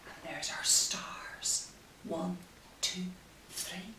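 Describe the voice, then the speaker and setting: Slow-paced woman reading a children's story. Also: on the low side, in a whisper. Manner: gentle, hushed asides, drawn-out expressive phrasing. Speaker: story time host. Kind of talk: reading picture books aloud to young children. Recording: roomy, distant mic with faint hiss.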